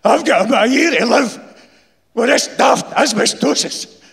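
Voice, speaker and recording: A man's voice: very high-pitched, expressive, moderate pace, gravelly, a bit bright, pitched above the performer's own voice, exaggerated voice. A man voicing a bitter, dying shipyard insulator with a Glasgow accent, blunt and emphatic, in a live story reading. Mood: furious, menacing. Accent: Scottish, Glasgow. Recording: echoey hall, live stage PA.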